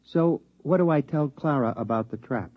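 A man's voice: mid-range voice, normal speed, no echo, close to an everyday voice. A man playing a small talking mouse, a timid, folksy family man, in a 1950s radio drama. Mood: earnest, worried. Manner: folksy, hesitant, plain-spoken. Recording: muffled 1950s radio broadcast.

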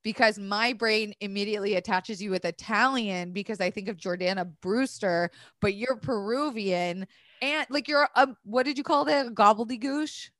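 A woman's voice: medium pitch, normal speed, fairly bright tone, no echo. A woman raised in Florida hosting a podcast, gushing, swearing freely and filling her talk with "like". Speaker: podcast host. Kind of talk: casual chat.

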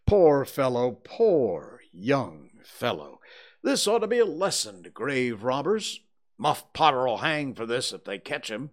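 A man voicing solemn, moralizing townsfolk in an audiobook, speaking gravely and with emphasis. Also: medium pitch, very animated, slow pace, pitched above the performer's own voice. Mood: pitying, stern. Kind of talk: audiobook character acting.

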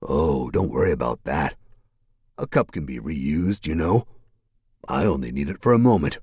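A man voicing a slow menacing cult leader. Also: extremely low pitch, animated, darkish tone, breathy edge, no echo, clean studio sound, exaggerated voice. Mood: sinister, coaxing. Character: sinister manipulative male cult leader.

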